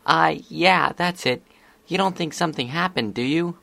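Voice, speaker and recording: Storybook young girl pony, uneasy and low in spirits, lifted pitch male narrator performing. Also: normal speed, vocal fry, sharp tone, no echo, heavily acted. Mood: worried. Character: anxious, downcast young female pony filly.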